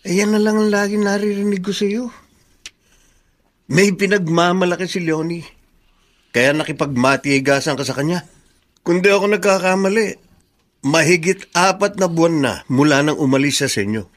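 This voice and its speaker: A man's voice: sits high, moderate pace, long pauses, natural voice. A man playing a frank, reasonable, questioning friend in a radio drama. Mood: probing. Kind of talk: Tagalog radio drama acting.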